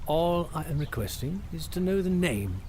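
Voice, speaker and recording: A man's voice: on the high side, very animated, moderate pace, dark tone, slight background noise. A young man playing an earnest, courteous royal knight in a fantasy audio drama, speaking formally. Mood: insistent. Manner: formal, polite, stately.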